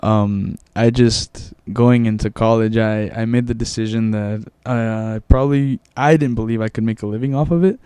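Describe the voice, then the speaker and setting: Young man, Spanish first language, dark mellow voice, smooth, dry close sound, hesitant, repeats himself. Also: on the low side, fairly even intonation, normal speed, hardly any pauses, dry recording. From Mexico. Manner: hesitant, repeats words while recalling. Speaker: guest. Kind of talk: casual podcast chat.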